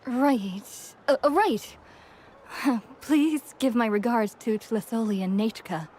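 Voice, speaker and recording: A woman's voice: on the high side, slow, warm tone. An actress playing a kindly, forgetful, worried townswoman in a video game, speaking in an earnest, conversational way. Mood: flustered. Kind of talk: English video game voice acting.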